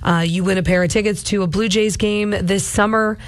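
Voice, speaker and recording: A woman's voice: medium pitch, fairly even intonation, a little fast, no room sound. A woman hosts a morning radio show, rambling on in a casual, chatty way. Her voice is going, strained from overuse. The studio sound is close and dry. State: losing her voice. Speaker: radio host. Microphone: studio microphone.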